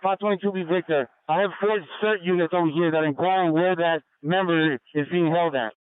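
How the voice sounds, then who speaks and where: Male police officer radioing in, high clear voice, procedural and terse, band-limited radio transmission. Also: steady tone, fairly quick, no echo, phone-quality sound. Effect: two-way police radio. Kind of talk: police field radio reports.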